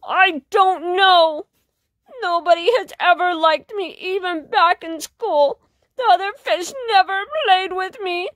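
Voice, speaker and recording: A woman voicing a grumpy, lonely villain fish in a storybook, blustering and emotional, with halting speech. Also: extremely high pitch, fairly even intonation, a bit bright, dry recording, exaggerated voice. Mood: upset, whining.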